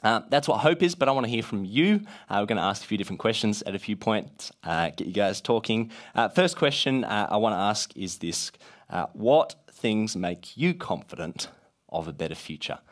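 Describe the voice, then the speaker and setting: A man with a gravelly voice from being a bit sick, leading a casual talk to a group with many "uh" fillers. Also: fairly low pitch, moderate pace. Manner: many "uh" fillers, lively rising emphasis.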